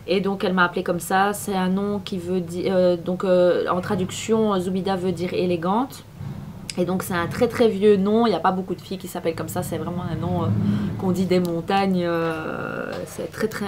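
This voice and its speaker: A woman's voice: lowish voice, background noise. A woman, chatting to camera as a beauty vlogger, full of fillers and restarts. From Belgium. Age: 20s. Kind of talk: casual talk to camera. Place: apartment at home.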